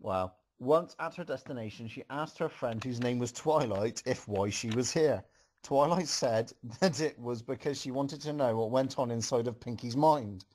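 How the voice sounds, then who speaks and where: A man's voice: medium pitch, moderate pace, darkish tone, a little hiss or hum. A man reading a story aloud to a group as host, with amused, joking asides.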